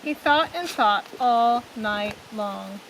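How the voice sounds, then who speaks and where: A woman storyteller reading a children's story aloud in an expressive style. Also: fairly high pitch, slow, slight background noise, low-quality recording.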